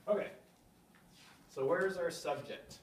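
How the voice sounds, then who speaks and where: A man teaching a basic language class, thinking aloud and spelling out words slowly. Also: a little high, far from the mic. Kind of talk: classroom lesson at a whiteboard. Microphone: camera at a distance. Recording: echoey room, voice from afar, faint hum.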